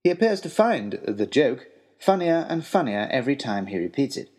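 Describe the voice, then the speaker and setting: Male narrator for a first-person adventure story: wry, formal, very animated, with a faintly husky edge. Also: mid-range voice, moderate pace.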